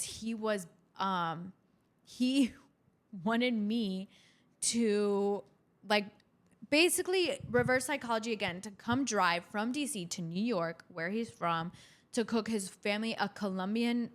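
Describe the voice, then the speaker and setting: A woman's voice: medium pitch, slow pace. A young adult woman from Chile co-hosting a podcast, telling personal stories in a casual, conversational way. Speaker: podcast co-host.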